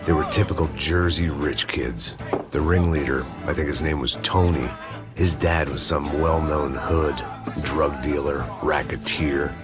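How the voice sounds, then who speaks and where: An actor playing a middle-aged, washed-up American ex-cop, delivering world-weary, deadpan noir narration and dialogue for a crime game. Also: male voice, a bass voice, measured pace. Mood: weary, brooding. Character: jaded, sardonic washed-up ex-cop turned bodyguard. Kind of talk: cinematic noir voice-over and dialogue. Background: loud music.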